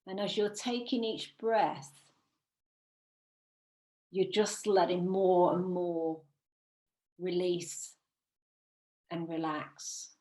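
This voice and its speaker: A woman leading a guided visualisation as a meditation teacher, soothing and unhurried, with long pauses between phrases. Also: fairly low pitch, fairly even intonation, dark tone. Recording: roomy, echoing sound.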